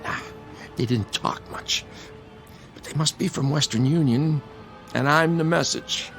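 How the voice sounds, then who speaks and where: A streetwise older brother in a radio drama, battered but protective. His voice is a little high for a man, with a gravelly crackle and lively swings in pitch. He wisecracks in a halting, conversational way, with music underneath. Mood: wry, weary.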